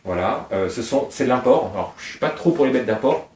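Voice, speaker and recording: A man's voice: medium pitch, expressive, fairly quick, darkish tone. A middle-aged man from Réunion Island, a snake breeder, talking informally and at length, piling up details as he explains his animals. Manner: talkative, digressive, piles up details. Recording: roomy sound from a distance.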